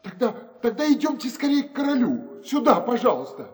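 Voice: mid-range voice, very animated, fairly quick, long reverb tail, faint music behind, lightly acted. A performer voicing a simple, eager male gatekeeper in an audio fairy tale.

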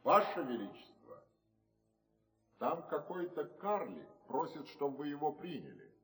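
Formal storybook palace gatekeeper. Highish, hugely animated announcing voice, set far off. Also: male voice, a little slow, faint music behind, heavily acted. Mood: deferential. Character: formal palace gatekeeper reporting to his king. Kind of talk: audio fairy tale acting. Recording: distant, echoing hall.

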